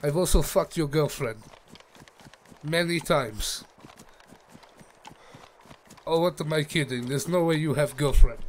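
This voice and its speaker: A man's voice: on the high side, a little slow, long pauses, slight background noise, close to an everyday voice. A man putting on a Russian accent to play a crude, boastful male squad commander in broken English over in-game voice chat. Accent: put-on Russian. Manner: broken English, bragging, mocking.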